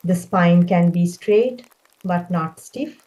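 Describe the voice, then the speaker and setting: A woman leading a guided meditation, speaking in a gentle, calming way with unhurried phrasing. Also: fairly low pitch, low-quality recording.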